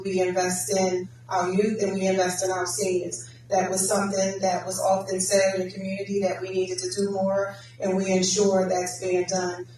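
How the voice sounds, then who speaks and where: Flat-voiced woman, council member. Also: mid-range voice. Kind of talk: candidate forum answer. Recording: echoey hall, distant mic, faint noise.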